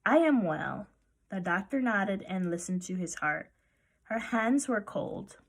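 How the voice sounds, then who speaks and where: A woman reading a children's picture book aloud as narrator, with expressive storytelling. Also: medium pitch, unhurried.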